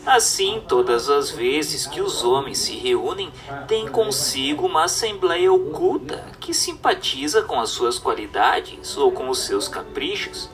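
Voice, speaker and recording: A man's voice: fairly high pitch, big pitch swings, a little slow, few pauses, fairly bright tone, distant mic, faint music behind. A man narrating a scripted radio drama adaptation of a book, reading in an emphatic, declamatory way.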